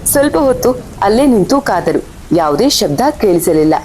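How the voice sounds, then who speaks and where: A woman's voice: sing-song, moderate pace, warm tone, rough recording. A woman reading scripted horror story narration as the storyteller. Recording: faint rumble and rain behind voice.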